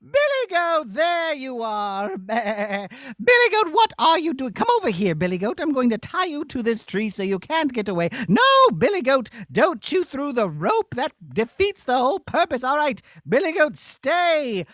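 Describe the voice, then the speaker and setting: Puppet-show princess: young, fussy and prim, high voice with swooping, lively pitch. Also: male voice, unhurried, few pauses, no echo, studio quality, heavily acted. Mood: scolding. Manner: exclaiming, sing-song calling, prim. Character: chatty, fussy, easily flustered young princess. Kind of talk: children's puppet show acting.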